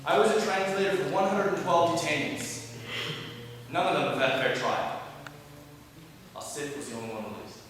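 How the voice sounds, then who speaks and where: A man's voice: fairly high pitch, moderate pace, pauses often, slight background noise, natural voice. A young man acting a male interrogator and translator in a stage reading, curt with a prisoner and reflective when speaking alone. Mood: remorseful. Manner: curt and hard, then quiet and reflective. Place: large hall, stage. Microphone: far room mic. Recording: echoey hall from far off.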